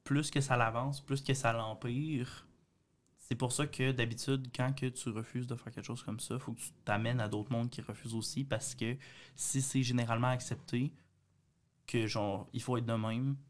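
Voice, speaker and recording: A man's voice: mid-range voice, fairly even intonation, moderate pace. Young Quebec man in casual discussion. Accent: Quebec French. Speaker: panelist. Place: small radio studio. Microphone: studio boom microphone.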